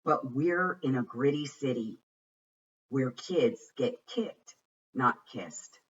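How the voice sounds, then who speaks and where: A man giving an expressive read-aloud of a rhyming children's picture book, with deliberate, dramatic phrasing. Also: mid-range voice, husky. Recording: muffled, distant microphone.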